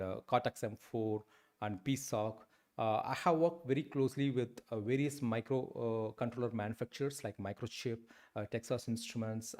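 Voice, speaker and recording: A man's voice: on the low side, darkish tone. A man in his forties with an Indian English accent, teaching a live online class with many fillers and restarts. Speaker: instructor. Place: indoor room, webcam.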